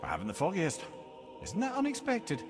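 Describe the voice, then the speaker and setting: An actor playing a wry, learned old advisor in a video game, with a Scottish accent and a wordy, musing, theatrical way of talking. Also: male voice, sits high, wildly swooping pitch, brisk. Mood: puzzled musing. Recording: long reverb, music underneath. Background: music.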